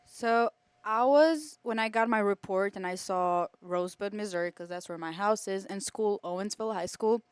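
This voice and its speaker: A woman's voice: medium pitch, moderate pace, few pauses, smooth, no echo. A young woman in her teens from Kosovo, chatting casually as an exchange student being interviewed.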